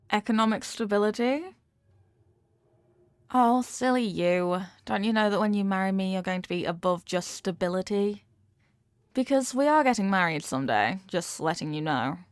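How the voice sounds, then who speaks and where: A woman's voice: mid-range voice, no room sound, lightly acted. A woman acting a possessive, manipulative rich young girlfriend in an intimate audio roleplay, talking down in a coaxing, teasing way with veiled threats. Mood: smug, coaxing.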